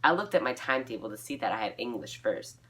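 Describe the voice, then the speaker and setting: A woman's voice: rumbling low, animated, fast, fairly bright tone, a little hiss or hum. A woman reading her old fan fiction aloud, giggly and self-mocking, dropping into casual asides.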